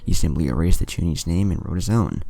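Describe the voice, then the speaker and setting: Very deep gravelly male story narrator. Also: expressive, quick pace, mellow, dry recording. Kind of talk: scripted fan fiction narration with acted dialogue. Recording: music behind the voice. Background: music.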